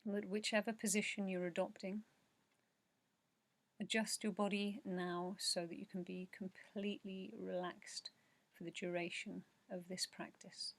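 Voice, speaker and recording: A woman with a low, very even voice guides a relaxation practice. She speaks softly and slowly, leaving long pauses and letting phrases trail into breath. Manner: slow, soothing, measured, with long pauses. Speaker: meditation guide. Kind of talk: guided meditation narration, yoga nidra.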